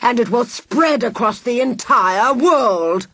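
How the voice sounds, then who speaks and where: A woman putting on a slow, sneering villain man. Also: a little high, animated, fairly bright tone, pitched above the performer's own voice, full character voice. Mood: sneering menace. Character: scheming male villain mastermind, sneering.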